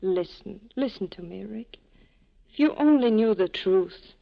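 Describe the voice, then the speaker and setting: A woman's voice: mid-range voice, animated, a little slow, creaky, muffled recording. An actress playing a torn, desperate woman pleading with a former lover, in earnest 1940s radio drama style on an old broadcast recording. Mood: desperate reproach.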